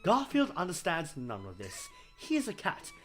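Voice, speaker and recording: A man's voice: on the high side, wildly swooping pitch, moderate pace, fairly bright tone, soft background music. A man narrating a comedic story reading in a theatrical, mock-dramatic manner. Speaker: fan fiction reader.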